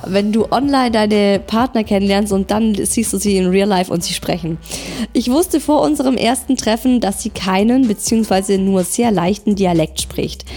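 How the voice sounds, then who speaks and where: Husky, gravelly woman chatting on a podcast. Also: medium pitch, darkish tone. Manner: reads listener messages aloud, chatty asides. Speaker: podcast co-host reading listener messages. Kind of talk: casual podcast chat.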